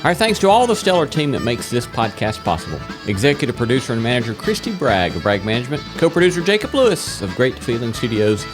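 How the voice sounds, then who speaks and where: A man's voice: mid-range voice, very animated, quick pace, few pauses. A man hosting a podcast, reading scripted closing credits. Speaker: podcast host. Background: acoustic guitar music.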